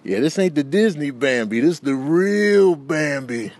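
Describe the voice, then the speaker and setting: A man's voice: a little high, lively intonation, unhurried, very noisy. A man voicing a fed-up, threatening deer, stretching out slangy words for emphasis in a comic animal voiceover. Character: fed-up, vengeful, tough-talking male deer fighting a hunter.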